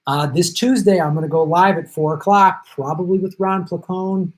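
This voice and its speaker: A middle-aged man hosting a live stream, chatting casually and reading viewer comments while he is losing his voice and jet-lagged. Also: high-pitched. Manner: chatty, thanks viewers by name, quick asides. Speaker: livestream host.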